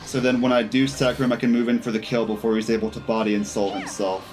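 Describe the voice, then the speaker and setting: A man's voice: on the low side, flat delivery, fast talker, a little mellow, faint music behind. A man giving casual gameplay commentary as a streamer, half talking to himself. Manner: talks to himself and the game. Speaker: game streamer.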